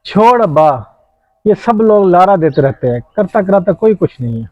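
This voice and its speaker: Man acting a high-voiced, self-serving grown son. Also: big pitch swings, darkish tone. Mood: sceptical, reluctant. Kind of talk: moral-story video drama acting. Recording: dull lo-fi sound, faint music.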